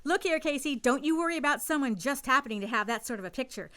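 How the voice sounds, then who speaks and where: A woman's voice: high-pitched, expressive, fast talker, sharp tone. An actress playing an eager, breezy, slangy girl newspaper photographer in a radio drama. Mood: excited, bossy. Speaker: radio play actor. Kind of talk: radio drama acting in vintage newsroom style.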